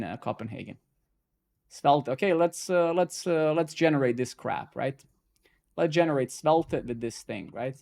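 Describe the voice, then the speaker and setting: A man's voice: mid-range voice, darkish tone. A man originally from Serbia hosting a casual live-coding stream, talking loosely, swearing when frustrated and tagging lines with "right?" and "man". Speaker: stream host.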